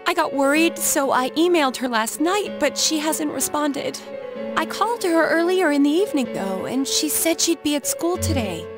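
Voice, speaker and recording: A woman voicing a feisty, excitable, worried teenage schoolgirl with an American accent in an anime-style video game, expressive and blunt. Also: high voice, moderate pace. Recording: game music and background crowd.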